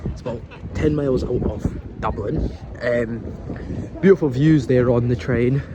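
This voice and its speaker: A man's voice: mid-range voice, unhurried. Chatty young man vlogging outdoors. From Scotland. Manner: chatty, rambling, swears casually. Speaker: travel vlogger. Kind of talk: casual vlog narration. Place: outdoors in a windy coastal town and city streets. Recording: noisy outdoor phone vlog.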